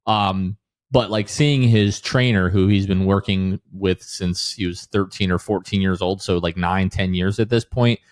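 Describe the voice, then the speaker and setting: A man's voice: sits low, steady tone, measured pace, dry recording, studio quality. An American man hosting a podcast, chatting casually about sports. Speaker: podcast host.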